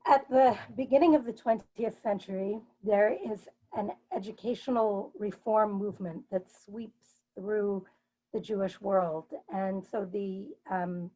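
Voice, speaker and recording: A woman giving an explanatory academic talk as a panelist, articulate and measured, explaining terms as she goes. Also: medium pitch, dark tone, low-quality recording. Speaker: professor speaking as panelist.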